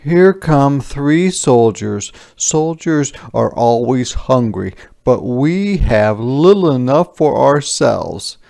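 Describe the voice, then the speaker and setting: A man's voice: medium pitch, animated, takes it slowly, creaky, few pauses, dark tone, pitched above the performer's own voice. Man voicing a plaintive, wary storybook peasant, slightly raised. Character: wary, stingy peasant villagers making sorry excuses.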